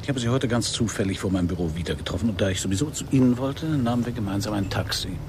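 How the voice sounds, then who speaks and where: An actor playing a laconic, courteous Parisian private detective in a radio crime drama, speaking and narrating with a dry, gravelly, husky voice. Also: male voice, fairly low pitch, rapid-fire, noisy background, natural voice. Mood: courteous, probing. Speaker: detective and first-person narrator.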